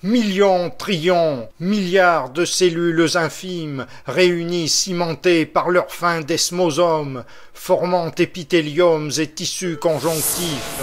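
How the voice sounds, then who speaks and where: A man reciting an epic poem in a solemn, measured declamatory style. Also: a little high. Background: music.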